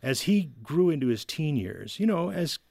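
A middle-aged man talking casually as a podcast reviewer, explaining things haltingly with repeated words. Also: fairly high pitch, big pitch swings, creaky, darkish tone, dry recording, clean studio sound. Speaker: video game reviewer, podcast regular. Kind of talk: casual conversational discussion.

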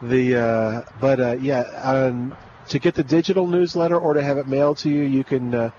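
Chatty radio garden-show host. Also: male voice, medium pitch, moderate pace, warm tone, highs cut off, a little hiss or hum. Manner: rambling and chatty, many fillers and restarts. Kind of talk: live call-in radio chat. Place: outdoor remote broadcast at a garden nursery.

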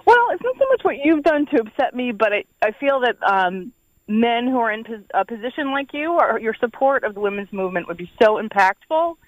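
A woman's voice: on the high side, lively intonation, a little fast, dry recording. A woman calls a radio show and voices her complaint in an earnest, hesitant way, with lots of fillers. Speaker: radio caller. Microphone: phone line. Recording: tinny phone line.

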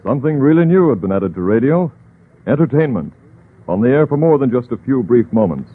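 Male 1940s announcer, declamatory, mid-pitched rough-edged voice, thin vintage broadcast. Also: big pitch swings, brisk. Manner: formal, declamatory. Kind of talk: scripted 1940s radio announcing. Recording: tinny 1940s radio broadcast.

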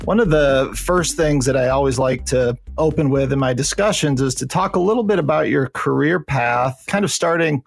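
Gravelly male podcast host. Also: mid-range voice, normal speed, few pauses. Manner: loose, filler-heavy questioning. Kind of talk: podcast intro and interview questions.

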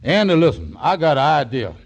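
An actor playing a scheming buddy in a 1940s radio comedy, confiding plans in an urgent, folksy way. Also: male voice, fairly high pitch, big pitch swings, a little fast, creaky, exaggerated voice. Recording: muffled 1940s radio recording.

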